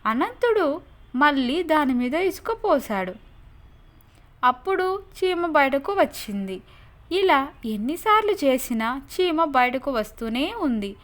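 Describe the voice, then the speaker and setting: A woman narrating moral folk stories for a cartoon channel. Her delivery is slow and deliberate, and her voice sits high. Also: sing-song. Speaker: storyteller. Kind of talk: scripted story narration.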